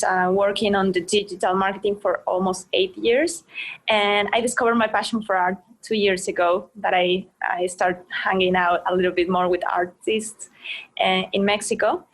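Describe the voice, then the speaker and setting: A woman's voice: mid-range voice, fairly even intonation, moderate pace. Hesitant Ecuadorian woman guest. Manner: hesitant, many fillers and restarts, phrasing of a second-language speaker. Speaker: guest, art marketer. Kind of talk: casual interview answers.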